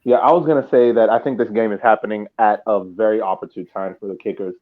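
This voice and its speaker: A man co-hosting a sports podcast, giving casual analysis haltingly and repeating words as he thinks. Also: fairly low pitch, fairly even intonation, phone-quality sound. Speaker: podcast co-host.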